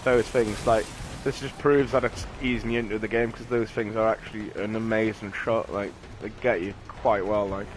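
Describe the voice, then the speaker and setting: A man's voice: lowish voice, flat delivery, moderate pace, faint music behind. A British man giving casual, rambling, sweary gameplay commentary. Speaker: gaming streamer.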